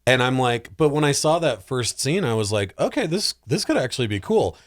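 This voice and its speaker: A man's voice: mid-range voice, sing-song, fairly quick. A man chatting casually as a podcast panelist, jokey and prone to acting out imagined quotes. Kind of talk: casual chat.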